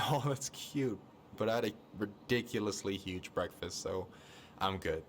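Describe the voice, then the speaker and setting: A man's voice: on the low side, a little slow, slight background noise, natural voice. A man playing a laid-back, supportive young American friend in a video game, colloquial and reassuring. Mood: easygoing, teasing. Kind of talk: naturalistic video game dialogue.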